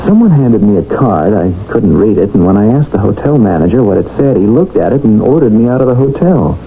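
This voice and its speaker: An actor playing an anxious, evasive American doctor in a radio drama, halting and defensive in his delivery. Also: fairly low pitch, expressive. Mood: troubled, fearful. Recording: muffled, noisy old radio broadcast.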